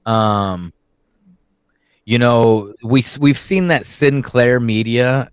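A man's voice: mid-range voice, slow pace, smooth, no room sound. A man co-hosting a podcast in casual, rambling talk, swearing often and getting worked up. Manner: profane, rambling, animated. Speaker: podcast co-host. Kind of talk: casual conspiracy talk chat.

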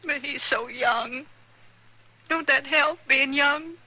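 A woman's voice: high voice, slow, bright, forceful tone, highs cut off, heavily acted. An actress playing a grieving, bitter frontier mother in a 1950s radio western, with rustic, pleading speech. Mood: anguished.

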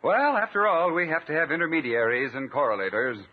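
A man's voice: fairly high pitch, big pitch swings, fairly quick. A man playing a self-important, ingratiating freeloader brother in a 1950s radio comedy. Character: smooth-talking freeloading brother, self-important, flattering moocher. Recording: thin, narrow 1950s radio recording.

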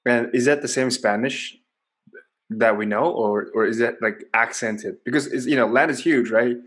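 A man's voice: mid-range voice, normal speed, fairly bright tone, husky. A man in his twenties, a native Kazakh speaker, hosting a casual podcast and asking curious, self-deprecating questions. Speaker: podcast host. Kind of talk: casual interview chat.

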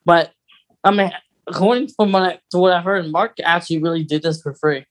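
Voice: mid-range voice, fairly quick. Rambling podcast co-host in casual chat.

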